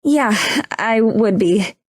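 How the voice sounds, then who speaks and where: A woman's voice: mid-range voice, expressive, slow pace, a little mellow, no room sound, studio quality. A woman playing an earnest, unsettled young woman in a visual novel, speaking in a hesitant, sincere, conversational way. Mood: uncertain, curious.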